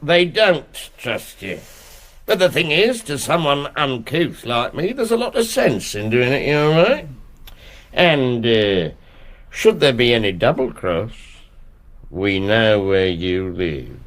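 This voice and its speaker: A man playing an uncouth, cheeky and slyly menacing crook in a radio drama, with a sardonic, rough manner. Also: mid-range voice, big pitch swings, takes it slowly, a bit bright, full character voice.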